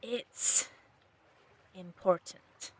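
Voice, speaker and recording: A woman's voice: extremely slow, very noisy. An actress in an audio drama playing a shrewd, confrontational woman who probes and commands. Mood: insistent. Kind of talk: fan audio drama acting.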